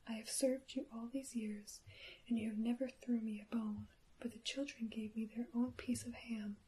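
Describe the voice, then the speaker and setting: A woman voicing a resentful old servant cat from a storybook in a breathy, reproachful whisper. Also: fairly high pitch, flat delivery, normal speed, dark tone, poor audio.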